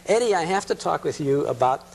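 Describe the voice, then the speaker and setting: A man's voice: a little high, expressive, brisk, vocal fry, a little mellow, husky, poor audio. A man hosting a talk show, interviewing conversationally and rephrasing his questions as he goes.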